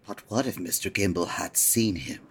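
A woman's voice: rumbling low, normal speed, slightly rough. A woman acting a prim, effusive, easily shocked store employee with old-fashioned manners, in a 1940s-style radio play. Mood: shocked, disapproving.

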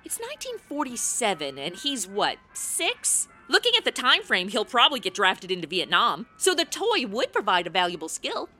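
A woman's voice: medium pitch, huge pitch swings, moderate pace, hardly any pauses, sharp tone, soft background music, exaggerated voice. A woman playing a brash, blunt young helper to Santa in a comedic radio play, flippant and sarcastic.

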